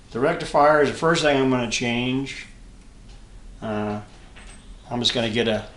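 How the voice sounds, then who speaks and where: A man in his seventies giving a casual, unscripted hobby show-and-tell, halting and rambling as he thinks aloud. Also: mid-range voice. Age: 70s. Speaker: hobbyist vlogger showing vintage electronics. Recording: echoey room with clear background noise and clicks.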